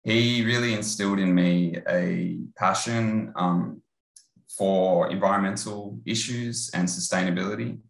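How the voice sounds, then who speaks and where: A man in his thirties with an Australian accent, giving a relaxed online research talk over a video call. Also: low voice, creaky, echoey room, rough recording. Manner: relaxed, conversational, with filler pauses. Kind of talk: online lecture presentation. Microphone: earbud headset on video call.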